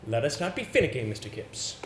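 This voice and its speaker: Man playing an earnest young gentleman, echoey stage. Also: mid-range voice, sing-song, fast, close to an everyday voice. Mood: persuasive. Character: earnest, confident young gentleman, theatrical and persuasive. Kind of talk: amateur stage play acting. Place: indoor theatre stage. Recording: echoey stage recording with background hiss.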